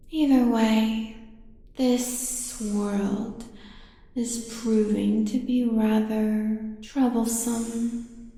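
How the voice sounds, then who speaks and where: A woman playing an ancient, cruel, godlike creator in a dramatic audio roleplay, speaking in slow, deliberate, ominous pronouncements. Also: medium pitch, creaky, warm tone. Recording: very echoey, long cavernous reverb.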